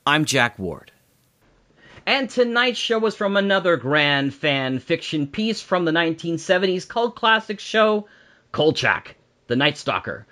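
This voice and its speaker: A man's voice: fairly high pitch, big pitch swings, measured pace, pushed and bright. A man, hosting a podcast about audio drama. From Canada. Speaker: podcast host.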